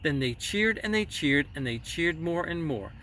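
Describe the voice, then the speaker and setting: A man reading a rhyming children's story aloud in a theatrical, deliberate way with emphatic phrasing. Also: a little high, lively intonation, pushed and bright. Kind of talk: dramatic children's story narration in rhyme. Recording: distant mic with faint background noise.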